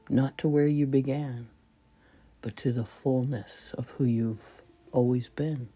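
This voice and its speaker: A woman leading a guided meditation in a soft, breathy voice that often falls toward a whisper, speaking reverently in short, measured phrases. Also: rumbling low. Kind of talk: slow spoken guided meditation.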